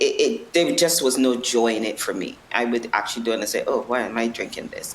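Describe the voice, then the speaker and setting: A woman's voice: a bass voice, echoey room, slight background noise, poor audio. A woman from Barbados answering interview questions earnestly, often restarting and checking in with "right?". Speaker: non-alcoholic bar owner.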